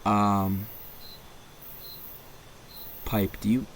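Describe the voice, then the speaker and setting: A man's voice: fairly low pitch, flat delivery, drawn-out words, a little hiss or hum. A man acting in an audio drama as a defensive, floundering partner at his wits' end, stammering and repeating himself. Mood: shaken, apologetic. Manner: stammering, repeating words, swearing.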